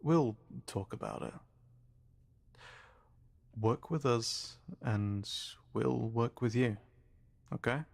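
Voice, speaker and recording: A man playing a slow, gravelly, coldly polite interrogator. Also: medium pitch. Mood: coaxing. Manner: slow, soft-spoken, quietly menacing. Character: calm, coldly polite male scientist interrogator. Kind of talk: voicing an NPC in a tabletop game.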